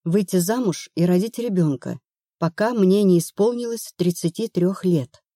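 A woman's voice: on the low side, normal speed, warm tone, no room sound, clean studio sound, natural voice. A woman narrator playing an anxious, stubborn woman of thirty-one in an audiobook, plaintive and earnest. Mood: uneasy confiding.

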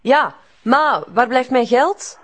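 A demanding young Flemish daughter in a radio drama. Her voice is somewhat high and her replies are curt. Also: lively intonation, slow pace, heavily acted.

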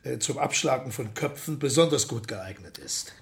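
A man's voice: mid-range voice, lively intonation, normal speed, creaky. A man playing a matter-of-fact expert in a radio drama, explaining precisely and instructively like a documentary narrator. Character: matter-of-fact male expert on medieval weaponry.

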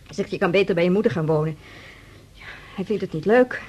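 Stressed, husky-voiced daughter. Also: female voice, lowish voice, quick pace, darkish tone. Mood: weary, irritated. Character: stressed, complaining middle-aged daughter of elderly mother. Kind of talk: radio drama acting.

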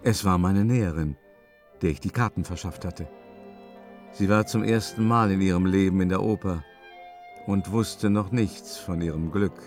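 An actor narrating a radio play in character as a sober man, measured and rueful as he recounts the past. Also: low-pitched, darkish tone, natural voice. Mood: reflective. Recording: music behind the voice.

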